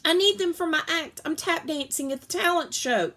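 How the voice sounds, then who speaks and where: A woman's voice: extremely high pitch, moderate pace, bright, forceful tone, pitched above the performer's own voice. A woman voicing a headstrong, dramatic young girl from a children's storybook, pleading and emphatic. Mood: protesting.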